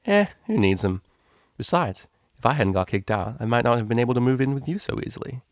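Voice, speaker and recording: A man's voice: medium pitch, lively intonation, fast, no echo, studio quality, voice pushed up, natural voice. A man voicing a brash young female unicorn DJ in a slangy, offhand storybook reading. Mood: blunt, offhand.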